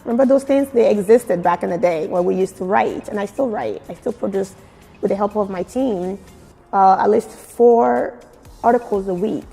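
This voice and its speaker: A woman from Nigeria with a Nigerian accent mixed with British and American, giving expressive, emphatic answers in a casual interview. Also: mid-range voice, normal speed, gravelly, husky. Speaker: fashion blogger being interviewed. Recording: very echoey room with music. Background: music.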